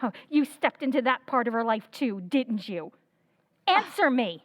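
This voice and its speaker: A woman's voice: fairly high pitch, sing-song, normal speed, no room sound, studio quality. Woman as an indignant elder sister. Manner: indignant, demanding, emotional. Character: earnest, proud elder sister, adult woman. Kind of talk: old-time radio drama recreation.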